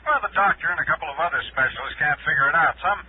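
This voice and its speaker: A man's voice: on the high side, very fast. An American man playing a fretful, chatty insurance man over a telephone in a 1960s radio drama, speaking colloquially and hesitantly. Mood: concerned. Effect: telephone filter. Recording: tinny phone effect on faintly noisy old recording.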